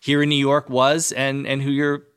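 A man hosting an interview, putting prepared questions in measured, formal phrasing. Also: medium pitch, monotone, fairly bright tone, smooth. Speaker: interviewer, host.

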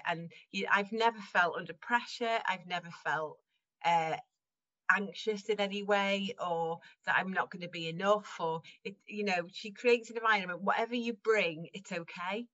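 A woman's voice: mid-range voice, moderate pace, fairly bright tone, dull audio. A woman from Wales chatting casually in an interview, laughing often and restarting her sentences as she talks. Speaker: guest improviser.

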